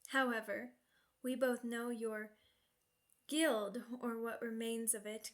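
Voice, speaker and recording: Woman doing a seductive vampire lady for asmr roleplay, formal n teasing, really slow, voice a bit high. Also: a bit bright. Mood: smug, silky. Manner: formal, teasing, savoring each phrase. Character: sadistic, seductive vampire noblewoman, predatory yet courteous.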